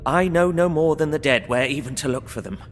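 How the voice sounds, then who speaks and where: An actor playing a polite, earnest young man, narrating his diary in measured, formal phrasing for an audio drama. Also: fairly high pitch, close to an everyday voice. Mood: polite, curious. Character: Jonathan Harker, polite young Englishman, earnest diarist. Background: music.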